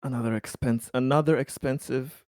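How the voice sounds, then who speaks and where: A man chatting casually as a tabletop game player, halting and wry, swearing freely. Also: medium pitch, fairly even intonation, a little mellow, no echo, studio quality.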